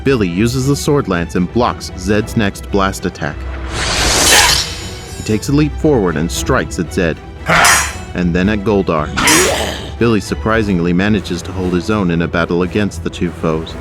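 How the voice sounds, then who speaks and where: A man's voice: low voice, normal speed, over loud music. A man narrating an action audio drama from a script.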